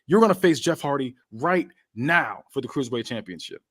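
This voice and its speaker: Man playing a loud, furious wrestling boss. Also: mid-range voice, animated, brisk, no echo, clean studio sound. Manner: barking, profane. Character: furious wrestling authority figure issuing an ultimatum.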